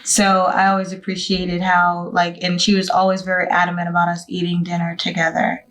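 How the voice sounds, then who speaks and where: Late-twenties woman, low and flat-toned. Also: a little fast, poor audio. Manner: thoughtful, with self-corrections and "like". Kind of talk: casual group discussion.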